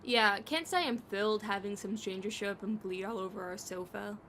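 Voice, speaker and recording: A woman's voice: mid-range voice, normal speed, fairly bright tone, close to an everyday voice. A woman voicing a playful, sarcastic non-human oddball with wry, bantering delivery in an audio drama, over background noise. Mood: wry sarcasm. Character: sardonic, teasing, playful eyeless bloodless oddball.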